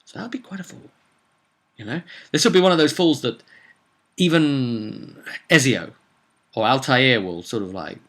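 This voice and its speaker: Bright-voiced man recounting a roleplay game. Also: mid-range voice, moderate pace, long pauses. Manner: rambling, conversational, repeats phrases for emphasis. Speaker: game master. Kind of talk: casual storytelling recap of a tabletop roleplay game.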